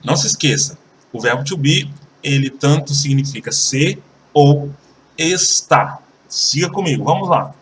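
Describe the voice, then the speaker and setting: A Brazilian man teaching an English lesson, switching between languages and repeating example sentences slowly and carefully. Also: fairly high pitch, expressive, fairly bright tone, far from the mic, a little hiss or hum, poor audio. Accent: Brazilian Portuguese.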